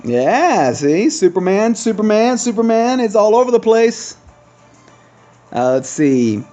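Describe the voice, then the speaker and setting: A man hosting a gaming video with casual, chatty commentary, thinking aloud with trailing pauses. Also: sits high, sing-song, dark tone, faint music behind.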